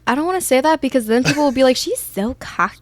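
A woman's voice: sits high, lively intonation, fast talker, dry recording. A young adult woman guest-hosting a podcast, chatting casually in a playful, teasing and boastful way.

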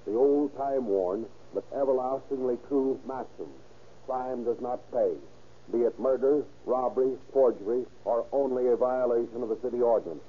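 A man narrating in a solemn, deliberate, moralizing 1930s radio style. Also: mid-range voice, fairly even intonation. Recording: muffled, hissy old radio recording.

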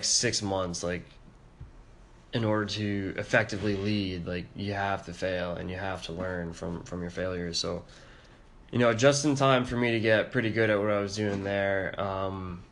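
Man, low voice, soft-spoken, hesitant, casual podcast storytelling, faint background noise. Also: fairly even intonation, a little slow. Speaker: podcast co-host.

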